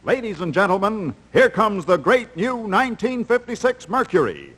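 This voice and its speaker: A woman's voice: mid-range voice, very animated, normal speed, a bit bright, breathy edge. A woman announcing a 1950s radio commercial in a showy sales style, with music behind. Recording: music under old radio ad.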